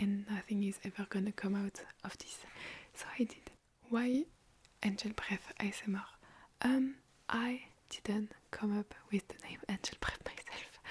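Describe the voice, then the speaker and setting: A woman's voice: mid-range voice, breathy edge. Whispering young French-accented woman. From France. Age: young adult. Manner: soft unvoiced whisper, rambling and chatty. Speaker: ASMR creator. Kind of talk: whispered ASMR Q&A. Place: her bedroom. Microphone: phone.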